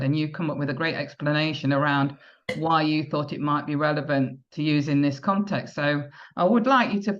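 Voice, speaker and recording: A woman's voice: low voice, highs cut off. A woman hosting a podcast, interviewing a guest, talking hesitantly with many fillers and restarts. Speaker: podcast host.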